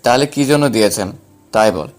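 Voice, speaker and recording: A man playing a protective, defiant young husband, the calm hero of an audio story, with a firm manner. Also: on the low side, fairly quick, faint music behind, close to an everyday voice. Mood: guarded, defiant.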